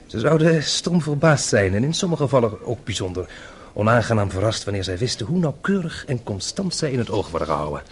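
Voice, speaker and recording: Faint noise sits behind a man acting as a self-assured French secret service officer in a radio play. He is formal and measured, with a medium-pitched voice and small pauses before he answers. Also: sing-song, close to an everyday voice. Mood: composed, confident. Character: courteous, self-assured French intelligence officer named Guy. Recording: radio play with noisy background ambience.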